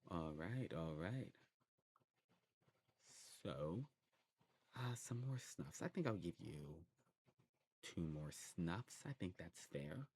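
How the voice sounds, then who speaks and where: A man playing a courteous old demon shopkeeper in an ASMR roleplay, talking to the listener in a genteel way and musing with hums and pauses. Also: low-pitched, expressive, a little mellow, husky, full character voice. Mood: musing, generous.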